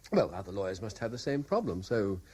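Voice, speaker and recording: A man's voice: on the low side, big pitch swings, unhurried, dark tone, husky, full character voice. An actor playing an anxious, hesitant middle-aged French lawyer and former policeman in a radio drama, stammering and apologetic. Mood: nervously affable. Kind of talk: 1970s radio drama acting. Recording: hissy vintage radio broadcast.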